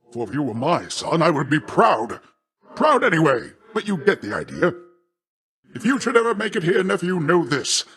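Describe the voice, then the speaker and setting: A man's voice: on the high side, a bit bright. A man voicing a boisterous, boastful sea god in a video game, a jovial, doting uncle who speaks in hearty, grandiloquent, old-fashioned phrasing. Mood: fond, encouraging. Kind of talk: theatrical video game character voice acting.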